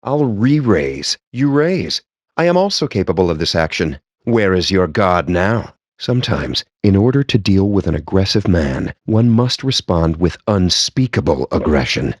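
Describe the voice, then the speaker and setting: A verbose, nerdy male gamer for a video game. His voice sits a little low and swings widely in pitch for dramatic emphasis. The delivery is wry and pompous, captured tight and dry without room sound. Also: sing-song, moderate pace, dry recording. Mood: theatrical bravado. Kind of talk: video game character voice lines.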